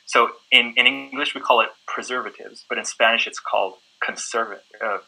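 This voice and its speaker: A man with a Southern American accent speaks in an easygoing, chatty way. His voice is very bright, and he often checks in with a quick "right?". He sounds like a teacher explaining things in an interview. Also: medium pitch, fairly even intonation, creaky, slightly rough, rough recording. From southern United States. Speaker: guest English teacher. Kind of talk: casual interview answers.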